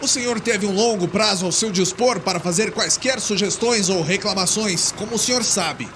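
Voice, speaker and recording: A woman's voice: medium pitch, moderate pace, a bit bright, slight background noise, voice pushed up, natural voice. A woman voicing a fussy, stubborn, nervous male bureaucrat with a formal, insistent manner in an audiobook. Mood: officious, insistent. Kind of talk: audiobook character dialogue.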